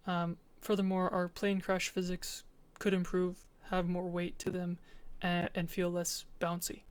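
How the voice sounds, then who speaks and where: A woman's voice: fairly low pitch, completely flat delivery, a little slow, dry recording. A woman giving an informal, matter-of-fact presentation as a student, explaining and narrating a game demo.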